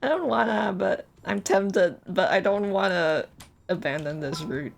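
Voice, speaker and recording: A woman's voice: mid-range voice, expressive, measured pace, vocal fry, slight background noise. A woman livestreaming a game, musing aloud and reacting with exasperation in casual commentary.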